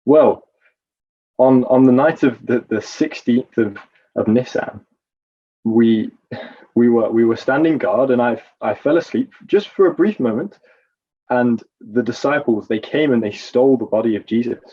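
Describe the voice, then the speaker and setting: A man's voice: medium pitch, unhurried, breathy edge, dull audio. A man playing a nervous, evasive Roman guard corporal testifying in a courtroom drama, hesitant and deferential.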